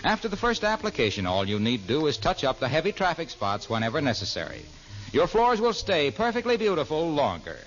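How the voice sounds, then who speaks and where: A man's voice: a little high, huge pitch swings, brisk, a bit bright. A man reading a scripted 1940s radio commercial as an announcer, with polished salesman phrasing. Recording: muffled old radio recording, music behind.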